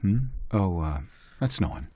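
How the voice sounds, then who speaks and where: A man playing a wistful, evasive older uncle with a husky voice in an amateur audio drama. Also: low-pitched, animated, measured pace, warm tone, no room sound. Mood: evasive, grave.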